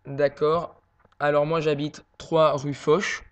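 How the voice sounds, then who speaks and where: A man making a prank phone call, posing as a worried son and talking hesitantly with many pauses and fillers. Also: fairly high pitch, little pitch movement, a little hiss or hum.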